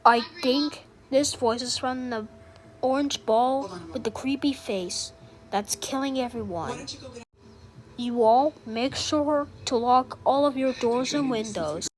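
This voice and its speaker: A woman's voice: sits high, slow. A woman playing a grave president who reads emergency national alert updates in formal announcer phrasing. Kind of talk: scripted emergency alert narration. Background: loud music.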